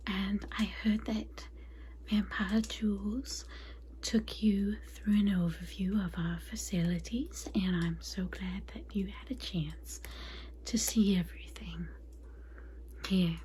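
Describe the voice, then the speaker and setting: Whispering, mellow, catty vampire consultant played by a woman. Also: mid-range voice, slight background noise, lightly acted. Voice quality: soft, breathy whisper. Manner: confiding, gossipy, apologetic asides. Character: catty, chatty female vampire transformation consultant. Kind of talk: soft-spoken ASMR roleplay.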